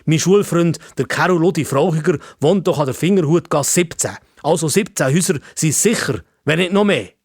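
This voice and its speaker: A man voicing a curious, easily flustered old-fashioned man from the past in a children's story, with a Swiss accent and halting, repetitive phrasing. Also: on the high side, expressive, no echo, studio quality, voice pushed up. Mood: eager, matter-of-fact.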